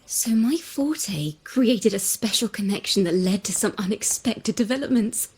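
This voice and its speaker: An actress playing a friendly, excitable young female musician in a video game, with a theatrical, playful delivery. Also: mid-range voice, a little slow, breathy edge. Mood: playful, curious.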